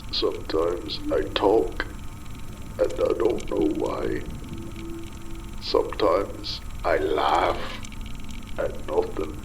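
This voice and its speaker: A man playing a desperate, unraveling middle-aged fisherman father in a horror audio drama, gravelly and breathy, often whispering, speaking in halting, brooding, folksy working-class phrases. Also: extremely low pitch, noisy background, heavily acted. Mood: haunted, eerie.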